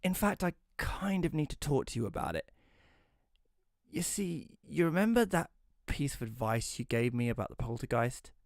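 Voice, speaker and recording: A man playing a hesitant magazine writer who tells his own story in an audio drama, in a conversational, slightly halting way. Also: medium pitch, very animated, dry recording, clean studio sound. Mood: sheepish. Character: Rory, male magazine writer, hesitant, self-doubting narrator. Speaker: narrator and lead character. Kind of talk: first-person audio drama narration with dialogue.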